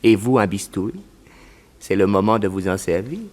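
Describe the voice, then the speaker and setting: A man acts a coolly methodical villain, an undertaker running a smuggling racket in a sixties radio serial. The voice is fairly low, and he gives orders in a measured, deliberate manner. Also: a little mellow, natural voice. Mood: methodical, instructing. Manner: measured, instructing. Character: methodical, calm male Chinese crime boss, undertaker. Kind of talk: 1960s French radio drama acting.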